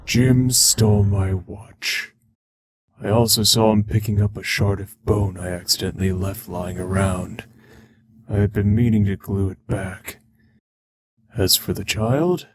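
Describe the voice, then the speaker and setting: A man playing a proud, sorrowful skeleton scientist and father, speaking in a measured, wistful way in a dramatic comic dub. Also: lowish voice, husky.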